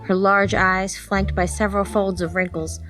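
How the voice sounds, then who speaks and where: A woman reads a story as a scripted audiobook narrator. Her voice has a middling pitch, and music plays behind her. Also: flat delivery, moderate pace, poor audio.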